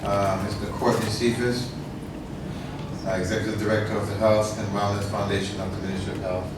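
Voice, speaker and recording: A man giving a welcome speech at a ceremony, modest and a bit halting as he greets the guests. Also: lowish voice, level tone. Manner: modest, halting, lists dignitaries with fillers. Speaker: foundation head addressing guests. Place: large echoey ceremony room. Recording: echoey room recorded from far off, noisy.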